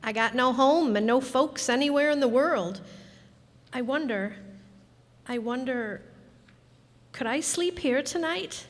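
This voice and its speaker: A woman's voice: high voice, a little slow, long pauses. Woman playing a wistful young boy, echoey hall. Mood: polite, forlorn. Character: eternally boyish Willie, wistful, polite young boy. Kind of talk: radio drama acting. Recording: echoey hall, music behind.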